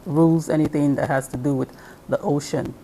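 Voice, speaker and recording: A woman from Nigeria speaks as an interview guest, explaining patiently in a measured, unhurried way that is full of ums and uhs. Her voice sits quite low for a woman, with a faint hiss of noise behind it. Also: steady tone, dark tone, rough recording. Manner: slow and measured, with frequent um and uh fillers. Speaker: interview guest, spiritual practitioner.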